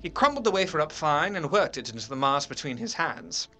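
A man playing an earnest, haunted Victorian gentleman, narrating a horror drama in a measured, literary and expressive way. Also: fairly high pitch. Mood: grave. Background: music.

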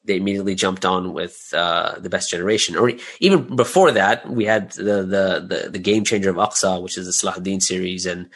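A man's voice: low voice, a little fast, hardly any pauses, a bit bright, breathy edge. A man answering questions as an interview guest in a conversational, chatty way, often checking in with "right?" and "you know". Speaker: interview guest, audio drama creator.

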